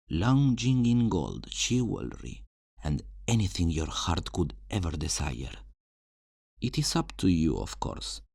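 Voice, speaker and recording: A man acting a deep-voiced, roguish fantasy thief. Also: a little mellow, dry recording, studio quality, full character voice. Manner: flirtatious, smooth-talking, theatrical, teasing. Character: charming, roguish fantasy thief recruiting for his guild. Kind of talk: ASMR roleplay, scripted character acting.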